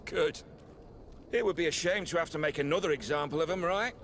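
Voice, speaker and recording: An actor playing a smug, corrupt guard lieutenant in a video game, cocky and quietly threatening. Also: male voice, fairly high pitch, brisk. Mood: smug menace. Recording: noisy ambient sound behind the voice.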